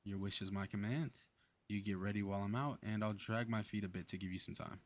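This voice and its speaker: A man's voice: fairly low pitch, fairly even intonation, fast talker, close to an everyday voice. A man playing a cocky, playful superhero in a flirty ASMR roleplay audio drama, with casual teasing banter.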